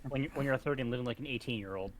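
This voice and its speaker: A man co-hosting in casual, joking banter, halting and slangy, with some swearing. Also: mid-range voice, poor audio.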